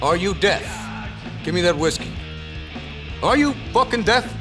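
An actor playing a cocky, sardonic, foul-mouthed biker gang boss in a video game, with a mocking, swaggering manner. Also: male voice, sits high, animated, unhurried, music in the background. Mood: menacing. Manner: mocking, swaggering, swinging from fake meekness to menace. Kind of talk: video game cutscene acting.

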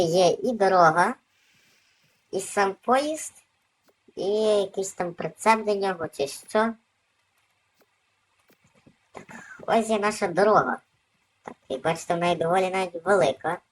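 Woman doing casual gameplay commentary, medium pitch. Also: low-quality recording. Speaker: gaming video host.

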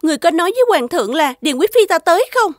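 A woman voicing a haughty, jealous imperial consort in an animated fairy tale, petulant and sharp-tongued. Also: very high-pitched, rapid-fire, no echo, clean studio sound. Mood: indignant. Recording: music behind the voice.

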